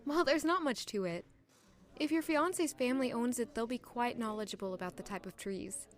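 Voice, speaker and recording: Casting: young palace maid for audio drama, female actor, high voice, reserved, courteous, modest, quietly wistful. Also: fairly quick, natural voice. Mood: polite, modest. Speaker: narrator and lead character of audio drama. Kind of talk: audio drama acting with first-person narration.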